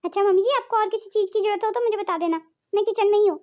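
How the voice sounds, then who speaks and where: Woman voicing a polite young daughter-in-law, very high. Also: rapid-fire, noisy background. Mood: dutiful. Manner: polite, deferential. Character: dutiful, sweet young working daughter-in-law. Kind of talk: Hindi cartoon family drama dialogue.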